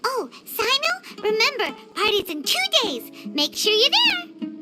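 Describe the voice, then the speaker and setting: Squeaky, very high childlike fairy companion. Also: female voice, huge pitch swings, measured pace, over loud music, heavily acted. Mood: earnest, chirpy. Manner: chirpy, refers to herself by name. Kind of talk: anime game voice acting.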